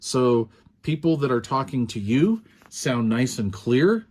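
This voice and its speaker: A man talking at an ordinary, middle pitch. He walks through a hands-on demo at a relaxed, unhurried pace and wanders between points. Ums and uhs come up often, like an everyday home video review. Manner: easygoing, rambling, frequent ums and uhs. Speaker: radio product reviewer. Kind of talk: casual hands-on product demo.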